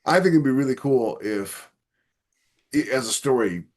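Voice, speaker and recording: A man in casual discussion as a podcast host, somewhat halting as he thinks aloud. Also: medium pitch, lively intonation, a little mellow.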